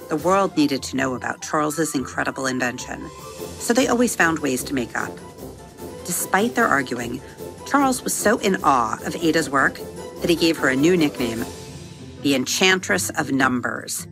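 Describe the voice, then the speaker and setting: A woman narrating a children's bedtime story, expressive, slowing and softening her delivery on vivid words. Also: sits low, creaky. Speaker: storybook narrator and episode host. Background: soft music under the reading.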